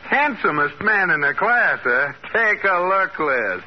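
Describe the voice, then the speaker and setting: An actor playing a teasing, exasperated young husband with an emphatic old radio sitcom delivery, on a muffled vintage recording. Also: male voice, high-pitched, big pitch swings, unhurried. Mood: mocking. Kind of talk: 1950s radio sitcom acting. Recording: muffled noisy radio recording, studio audience.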